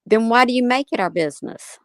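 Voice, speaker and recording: A woman's voice: a little high, fast talker, no echo. Chiding woman commentator with a dry sound. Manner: critical, chiding. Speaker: reaction commentator. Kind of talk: spoken commentary over a clip.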